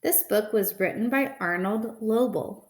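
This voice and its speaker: A woman reading a children's picture book aloud deliberately, pausing to explain what the pictures show. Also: medium pitch, reverberant. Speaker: storybook reader.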